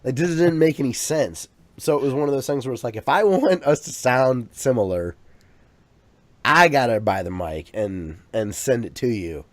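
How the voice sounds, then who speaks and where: A man's voice: medium pitch, expressive, moderate pace, dry recording. Rambling man on a dry podcast mic. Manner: rambling, with many restarts and fillers. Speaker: podcast co-host. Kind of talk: casual chat. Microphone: USB microphone.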